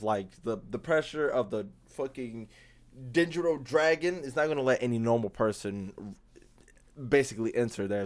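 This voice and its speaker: A young man giving casual, rambling livestream gaming commentary, slangy and full of swearing. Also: medium pitch. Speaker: game streamer.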